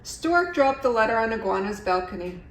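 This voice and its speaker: A woman's voice: a little high, very animated, normal speed, recorded from a distance. A middle-aged woman reading a children's story aloud expressively, as a storyteller. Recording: echoey room, faint background noise.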